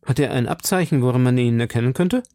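A man voicing a brash young gallant in an audiobook, inquisitive, impulsive and briskly exclamatory. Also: medium pitch, mellow, no room sound, clean studio sound, natural voice. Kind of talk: audiobook character dialogue.

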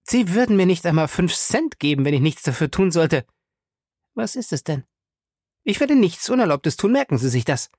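A man voicing a wary, sharp-tongued woman in an audiobook, curt, indignant and suspicious. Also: on the high side, very animated, moderate pace, no room sound, clean studio sound, voice pushed up. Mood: firm, suspicious. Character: wary, sharp-tongued woman guarding her good reputation. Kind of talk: audiobook character dialogue.

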